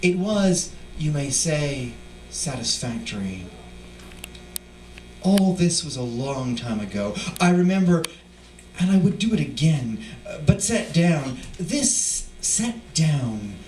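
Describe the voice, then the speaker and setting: Echoey, unhurried man reading poetry. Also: fairly high pitch, sing-song, darkish tone. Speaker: poet reading at a live event. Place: indoor live reading venue. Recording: distant mic, echoey room, faint noise.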